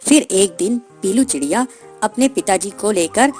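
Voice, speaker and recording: A woman's voice: medium pitch, animated, normal speed, darkish tone, faint music behind. A woman telling a scripted cartoon story as its narrator.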